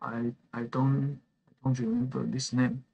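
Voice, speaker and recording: A man from Taiwan whose first language is Chinese, chatting slowly through a live game stream with many fillers and restarts while he answers viewers' messages. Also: mid-range voice, level tone, creaky, highs cut off, slight background noise. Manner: reads chat messages aloud and answers them. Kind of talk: live gameplay stream chat.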